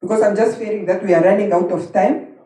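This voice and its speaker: Brisk woman chairing a workshop. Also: medium pitch, steady tone, mellow. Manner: brisk, keeps things moving. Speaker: workshop facilitator. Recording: echoey hall, distant mic.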